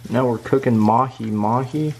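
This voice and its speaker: A man's voice: medium pitch, moderate pace, a little mellow, slight background noise. A man in his thirties, chatty and upbeat, talking through a cooking vlog in his home kitchen. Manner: upbeat, chatty, explains each step. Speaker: cooking vlogger. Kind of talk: casual cooking vlog to camera.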